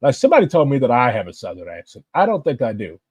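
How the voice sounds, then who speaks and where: A man's voice: medium pitch, huge pitch swings, fast, a little mellow, husky, no room sound, highs cut off. A man with a St. giving blunt, impassioned political commentary. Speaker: political activist.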